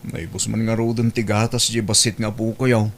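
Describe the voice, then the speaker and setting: A man's voice: fairly low pitch, flat delivery, moderate pace, lightly acted. A man playing a wheedling, pleading relative after money in an Ilocano comedy drama. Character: wheedling, sponging male relative begging for money.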